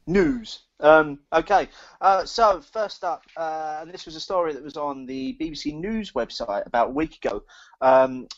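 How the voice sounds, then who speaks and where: A man's voice: on the high side, normal speed, dull audio. A British man co-hosting a casual podcast chat, speaking in an opinionated, emphatic way. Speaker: podcast co-host.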